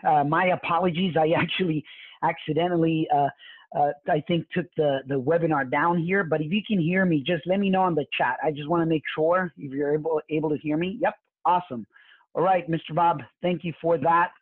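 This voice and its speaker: A man's voice: on the high side, steady tone, brisk, no echo. Male webinar host, Mexican Spanish accent, motivational, stumbles and restarts a lot, very dry tinny line. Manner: repetitive restarts and stumbling fillers. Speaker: real estate webinar host. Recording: tinny phone-like webinar audio.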